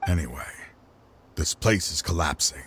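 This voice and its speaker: An actor voicing a solemn, self-sacrificing enforcer in a video game, speaking gravely and with deliberate remorse. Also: male voice, extremely low pitch, heavy background noise. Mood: resolute, apologetic. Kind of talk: dramatic video game voice acting.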